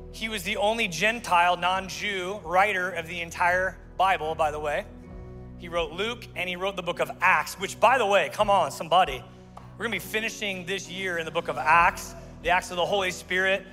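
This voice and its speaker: High, bright-voiced pastor preaching. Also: male voice. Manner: energetic, joking with the congregation. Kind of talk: sermon. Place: large church stage with audience. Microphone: handheld mic. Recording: live sermon in a large hall with faint music.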